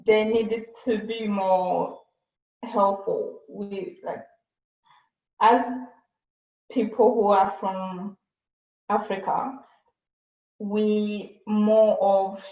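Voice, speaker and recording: A woman's voice: mid-range voice, little pitch movement, drawn-out words, long pauses. A young woman from Burundi being interviewed on a video call. She speaks hesitantly and repeats words while she thinks. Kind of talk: casual conversation on a video call. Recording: echoey, dull video-call audio.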